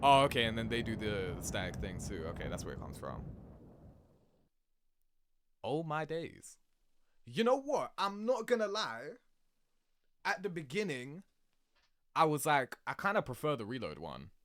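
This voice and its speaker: Animated man giving casual reactions. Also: mid-range voice, measured pace, long pauses. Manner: animated, slangy, exclamatory. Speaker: reaction video host. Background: game cutscene music.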